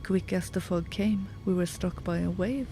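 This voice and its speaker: A man's voice: high voice, normal speed, dark tone, slight background noise. A woman voicing a young fisherman from Cork telling of surviving a storm at sea. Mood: shaken.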